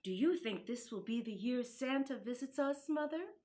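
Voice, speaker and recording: A woman voicing an earnest, excitable little boy in a storybook read-aloud. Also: sits high, normal speed. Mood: hopeful.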